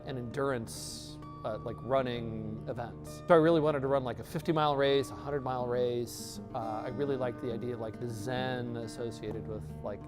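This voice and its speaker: A man in his forties, a gym coach, talking casually in an interview, rambling with many fillers and restarts. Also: mid-range voice. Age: 40s. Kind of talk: interview testimonial. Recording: music bed underneath.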